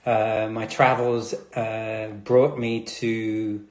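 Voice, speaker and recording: A man's voice: lowish voice, level tone, very slow. Chatty man in an echoey room. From Britain. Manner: chatty, nervous, many fillers. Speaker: chocolatier host. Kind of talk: casual live chat. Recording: roomy echo.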